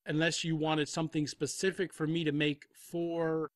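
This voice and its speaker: A man's voice: a little high, level tone, moderate pace, no echo. A man chatting casually as an interview guest, telling stories with repeated words and asides. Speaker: guest, composer and audio drama creator. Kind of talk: casual chat.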